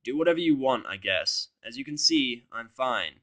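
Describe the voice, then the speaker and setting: A man's voice: medium pitch, lively intonation, normal speed, pushed and bright. A grumbling, cynical human played by a man reader in a fantasy audio story. The delivery is blunt and sarcastic, in a middle register. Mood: grudging, sarcastic. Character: surly, foul-mouthed, cynical human in pony world.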